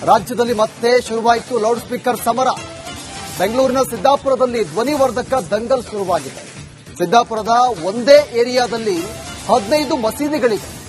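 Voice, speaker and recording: A male news announcer delivers scripted headlines dramatically. His voice sits at a very high pitch, with music playing behind it.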